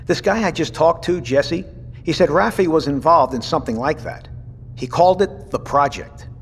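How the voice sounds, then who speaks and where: An actor playing a middle-aged captain and father, courteous, measured and thoughtful, in an audio drama. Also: on the high side, natural voice. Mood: matter-of-fact. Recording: reverberant room with faint noise.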